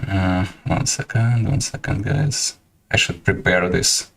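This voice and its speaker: Ukrainian-accented male host, very low voice, halting casual livestream chat. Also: vocal fry, a bit bright. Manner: halting, many fillers and restarts.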